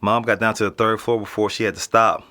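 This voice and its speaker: A man's voice: on the low side, flat delivery, quick pace, pushed and bright. A young man with an American accent playing a casual, slangy, streetwise teenage boy being interviewed in a naturalistic audio drama. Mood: matter-of-fact. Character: nonchalant streetwise teenage boy living in an apartment building.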